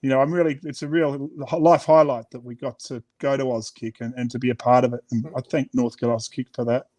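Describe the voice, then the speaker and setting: A man's voice: medium pitch, fairly even intonation, a little fast, darkish tone. A middle-aged man with an Australian accent talking casually and reflectively as an author being interviewed. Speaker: children's author, interview guest.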